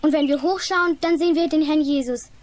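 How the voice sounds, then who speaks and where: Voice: high voice, fairly even intonation, brisk, lightly acted. A young performer playing a firm, pious, matter-of-fact child in a children's radio play. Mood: assured. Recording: 1980s radio play, faint noise.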